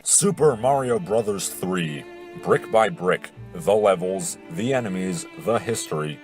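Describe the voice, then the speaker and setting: Man, very low voice, audiobook narrator, pompous theatrical delivery, classical music behind. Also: expressive, a little slow. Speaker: audiobook narrator, video host. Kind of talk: scripted audiobook reading with introduction. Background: classical orchestral music.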